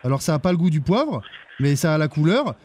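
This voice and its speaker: A man playing an earnest, bumbling charity deputy director on a prank call, speaking politely and hesitantly with deadpan insistence. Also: fairly high pitch, fast, no room sound, pitched below the performer's own voice. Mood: insistent. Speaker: prank caller.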